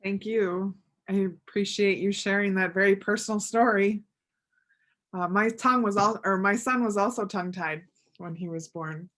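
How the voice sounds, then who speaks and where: A woman's voice: medium pitch, steady tone, moderate pace, fairly bright tone. A woman hosting an event, giving informal remarks of thanks to an audience. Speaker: event host.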